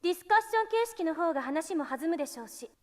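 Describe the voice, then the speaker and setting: A woman playing a poised young genius scientist in an anime visual novel, speaking formally and politely with a sharp edge. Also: very high-pitched, lively intonation, racing. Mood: composed. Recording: game audio with music underneath.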